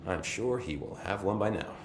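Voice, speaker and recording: Chatty low-voiced security chief. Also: male voice, expressive, normal speed, roomy sound, slight background noise, rough recording. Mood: urgent. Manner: casual, sweary, joking, slightly flustered. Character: brash, chatty young male security chief. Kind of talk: video game dialogue.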